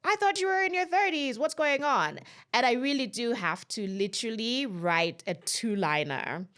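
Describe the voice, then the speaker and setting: A woman's voice: fairly high pitch, expressive, moderate pace, a bit bright. A woman in her late thirties, a podcast guest, talking chattily with many fillers and light laughter. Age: 30s. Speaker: podcast guest and content creator. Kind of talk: casual podcast conversation.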